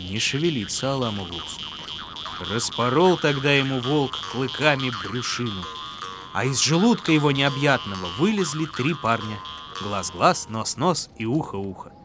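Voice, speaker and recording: A man narrating a folk tale as a storyteller, with dramatic, expressive and deliberate phrasing. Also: medium pitch.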